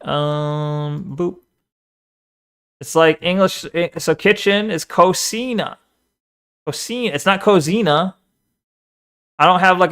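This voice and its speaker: A man streaming and chatting casually, animated, swearing and talking back to the screen. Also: on the high side, smooth. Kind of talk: casual live-stream chat and video reaction.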